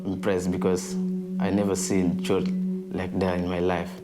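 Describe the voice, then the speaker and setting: Young male student, deep voice, West African accent, interview testimony over music. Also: completely flat delivery, unhurried. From Guinea-Bissau.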